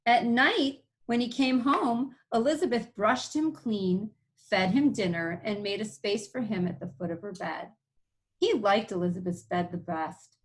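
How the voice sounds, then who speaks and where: A woman teacher reading a picture book aloud, pausing to explain things simply to young listeners. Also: medium pitch.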